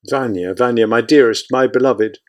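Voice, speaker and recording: A man voicing a tender, grieving young wife's letter in a soft, gentle reading. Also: medium pitch, moderate pace, lightly acted.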